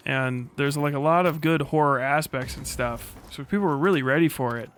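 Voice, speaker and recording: A man's voice: a little high, a bit bright, clear, resonant tone. A man in his thirties hosting a casual livestream, rambling and swearing freely as he comments. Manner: profane, rambling. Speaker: livestream host.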